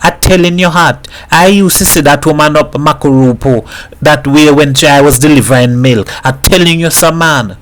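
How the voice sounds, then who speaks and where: A man's voice: on the high side, normal speed, a bit bright, slight background noise, pitched above the performer's own voice, natural voice. A man doing an eager young boy. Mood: insistent. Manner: eager, insistent.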